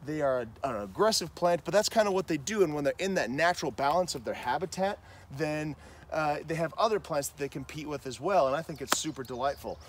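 A man's voice: a little high, animated, fairly quick. Outdoor vlogger, thirties man, enthusiastic and chatty, camera mic with light background hiss. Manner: enthusiastic, chatty, pointing out plants. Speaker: gardening vlogger. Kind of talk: walking nature vlog. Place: outdoor trail in a nature preserve. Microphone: handheld camera framing, on-camera mic.

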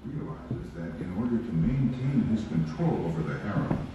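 Heavily echoing far-off sound, man, lowish voice, scripted documentary narration, noisy. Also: very animated, normal speed, lo-fi sound. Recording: very echoey room, distant, noisy playback.

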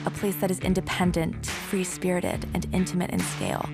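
Woman, scripted promo voice-over, lowish creaky voice, loud music bed. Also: big pitch swings, normal speed.

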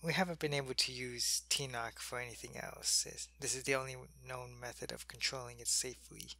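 A man playing a sick, weak male alien soldier in an ASMR roleplay. He speaks softly into a close mic and often drops to a whisper. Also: mid-range voice, steady tone, fairly quick, creaky, no room sound, heavily acted. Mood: weary, gentle. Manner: soft-spoken, drifting into whispers.

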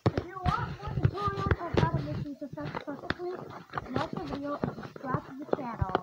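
A young-sounding host narrating a casual how-to screen recording, halting and repeating phrases. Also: high-pitched, lively intonation. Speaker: video tutorial host. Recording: muffled, loud noise, clicks.

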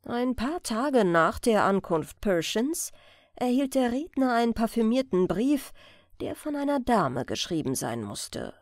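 A woman's voice: on the high side, big pitch swings, a little slow, no room sound, clean studio sound. A woman narrating a crime story as an audiobook reader, in a scripted reading style.

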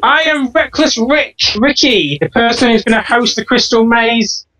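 A woman's voice: a little high, moderate pace, a bit bright, distant mic, rough recording. A young woman playing a game-show host in a casual gaming chat, giggly and losing track of the rules.

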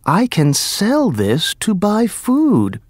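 Excitable mother acted by a man, raised high voice, exclaiming, swooping pitch, storybook. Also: slow, no room sound, studio quality, exaggerated voice. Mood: pleased. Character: excitable, poor mother of a young boy.

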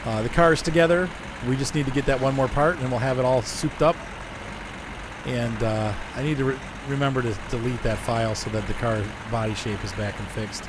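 A hoarse man chatting casually as he streams a driving game, rambling and answering his chat. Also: mid-range voice, fairly even intonation, a little fast. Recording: noisy game sound behind.

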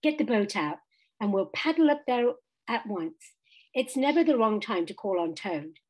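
A woman's voice: mid-range voice, expressive, normal speed, a little mellow, close to an everyday voice, poor audio. Woman reading as a cheerful, chatty water rat. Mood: good-natured. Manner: chatty, emphatic. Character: good-natured, cheerful male water rat, loyal friend.

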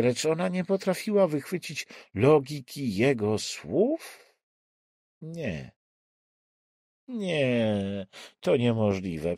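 Male thriller audiobook narrator, dramatic read, unhurried pace, animated intonation. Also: on the high side, no echo, clean studio sound.

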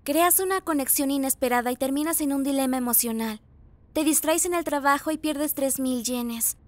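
A woman voicing a polite, excitable teenage girl in an anime fan dub, with a girlish manner. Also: sits high, moderate pace, close to an everyday voice. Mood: hesitant, polite. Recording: music playing behind the voice.

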